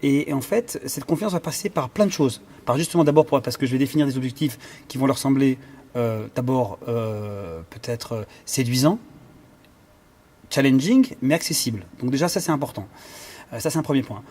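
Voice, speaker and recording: A man's voice: mid-range voice, normal speed, darkish tone. Hesitant, thoughtful French-speaking man. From Iran. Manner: thoughtful, many fillers and restarts, searching for words. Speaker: conductor and cellist. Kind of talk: interview answers.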